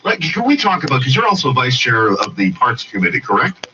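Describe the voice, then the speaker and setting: A man's voice: mid-range voice, big pitch swings, brisk, sharp tone. A man hosting talk radio, interviewing and presenting in a conversational style. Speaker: radio host. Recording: dull distant radio playback, clear noise.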